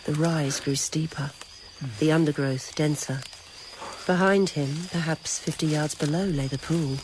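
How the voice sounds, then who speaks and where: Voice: mid-range voice, fairly even intonation, measured pace. A narrator reading literary, scripted prose for a radio drama. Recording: noisy old radio recording.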